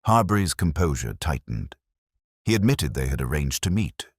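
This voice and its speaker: A man narrating a scripted first-person detective story in a measured, solemn, literary manner. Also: very deep voice, very animated, breathy edge, dry recording, clean studio sound.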